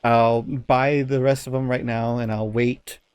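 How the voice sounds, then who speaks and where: A man's voice: mid-range voice, little pitch movement, measured pace, darkish tone, smooth, dry recording. Male podcast co-host, informal rambling storyteller, loose conversational delivery, very dry close sound. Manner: rambling, informal, profane at times. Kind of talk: casual podcast chat, telling anecdotes.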